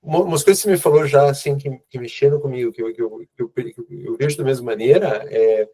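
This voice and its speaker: A man with a Brazilian accent hosting a casual conversation, speaking informally with halting, repeated phrasing. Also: medium pitch, warm tone, breathy edge, low-quality recording. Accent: Brazilian Portuguese. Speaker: host, immigration consultant.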